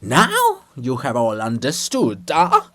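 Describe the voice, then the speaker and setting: A man voicing a taunting, grandiose prophet of doom, declaiming loudly in a dramatic play reading. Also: wildly swooping pitch, no room sound, full character voice. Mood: grandiose menace. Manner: declamatory, booming, drawn-out.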